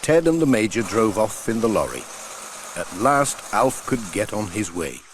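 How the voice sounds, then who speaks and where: A male narrator reads a children's story at a slow, measured pace. His pitch moves about expressively, and there is faint noise on the recording. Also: big pitch swings. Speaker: audiobook narrator. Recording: faint noise, old audiobook transfer.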